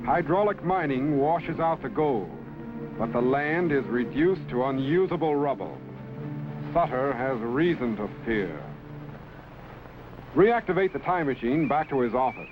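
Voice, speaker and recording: Male actor as solemn, knowing narrator from a vintage sci-fi drama. Mid pitch, lo-fi sound. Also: lively intonation, a little slow, lightly acted. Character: grave, knowing time-travelling narrator of history. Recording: dull old broadcast, loud music.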